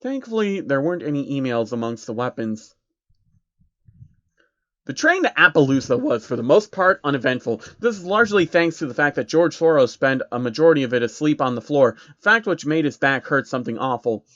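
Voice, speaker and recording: Male story narrator, medium pitch, scripted comic reading, dry and slightly muffled sound. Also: lively intonation, fairly quick, a bit bright, no room sound.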